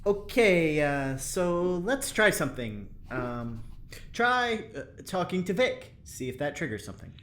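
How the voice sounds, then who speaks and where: An actor playing a nervous, chatty lab assistant in an audio drama, hesitant yet upbeat. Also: male voice, on the high side, very animated, a little slow, fairly bright tone. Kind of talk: naturalistic audio drama acting. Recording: clear background noise.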